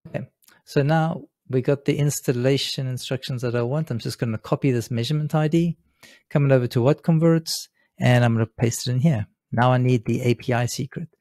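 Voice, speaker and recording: A man presenting a software tutorial in a casual, unscripted way, explaining step by step as he works at his computer. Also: medium pitch, a little fast, dry recording, studio quality. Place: indoors, seated at a computer.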